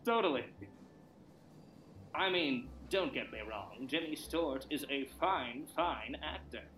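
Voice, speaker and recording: A man playing a playful, excitable partner on a mystery hunt in a naturalistic audio drama, bantering and punchy. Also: mid-range voice, a little slow, slight background noise. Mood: teasing.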